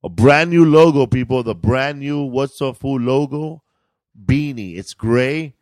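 A man's voice: medium pitch, a little slow, a little mellow, dry recording. A man hosting a casual podcast, chatting in a laid-back, slangy, repetitive way. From California. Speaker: podcast host. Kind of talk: casual comedic podcast chat.

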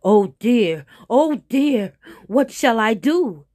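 A woman's voice: on the high side, lively intonation, a little mellow, dry recording, studio quality, heavily acted. A woman voicing a hungry, pleading, easily panicked male tiger in a storybook tale, drawing out the words in overdramatic pleas. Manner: drawn-out, wheedling, overdramatic pleading. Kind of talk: animated storybook character voice.

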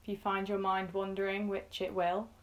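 A woman leading a guided meditation in a gentle, reassuring manner, speaking in short phrases with pauses between them. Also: mid-range voice, flat delivery.

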